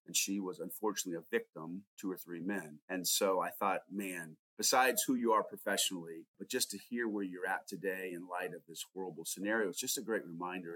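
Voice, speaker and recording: A middle-aged man hosting an interview podcast, talking in a rambling, earnest way, his voice dropping quietly at the ends of sentences. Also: low voice, no room sound, low-quality recording. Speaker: podcast host. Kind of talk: conversational interview.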